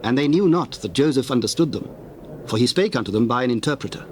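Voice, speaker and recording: A man narrating a dramatized scripture, reading in a formal, measured way. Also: mid-range voice, animated, slight background noise.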